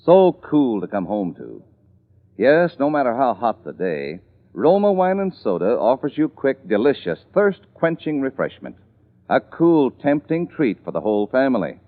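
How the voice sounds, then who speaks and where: Man, American, old-time radio commercial announcer, lively intonation, mid pitch, tinny vintage broadcast. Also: normal speed. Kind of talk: 1940s radio ad read, smooth salesmanship.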